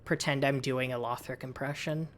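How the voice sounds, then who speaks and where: A woman with a low, androgynous and slightly raspy voice, chatting casually with viewers while she plays and talks game lore. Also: fairly even intonation, moderate pace. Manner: soothing, chatty, thanks viewers often. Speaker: livestreamer and lore host. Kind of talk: casual livestream chat while gaming.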